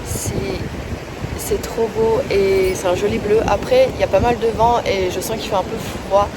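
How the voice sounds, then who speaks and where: A woman chatting casually to her camera as a travel vlogger, relaxed with frequent hesitations. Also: medium pitch, normal speed. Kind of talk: casual vlog chat to camera. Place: outdoors by a windy seaside and in public places. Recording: loud wind and outdoor background noise.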